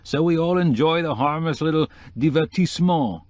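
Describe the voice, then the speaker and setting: A man's voice: on the high side, moderate pace, a little mellow, dry recording, pitched above the performer's own voice, close to an everyday voice. A man voicing a witty, flamboyant decorator in an audiobook, sprinkling French phrases into hip slang. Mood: amused, confiding. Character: flamboyant, witty male interior decorator, sly and gushing. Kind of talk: acted audiobook dialogue.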